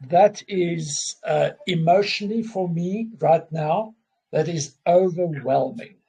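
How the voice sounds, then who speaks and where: Husky-voiced man, slow and halting. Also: fairly high pitch, dark tone. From South Africa. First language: Afrikaans. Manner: halting, restarts often, openly emotional. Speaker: guest. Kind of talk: casual conversation.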